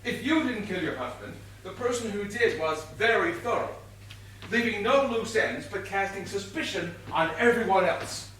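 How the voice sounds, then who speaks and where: An actor playing a stern, self-assured police inspector in a stage whodunit, speaking in a deliberate, declamatory way. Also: male voice, sits high, animated, fairly bright tone. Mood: stern, accusing. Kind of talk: amateur one-act stage play acting. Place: indoor theatre stage before an audience. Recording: echoey theatre stage from far, faint noise.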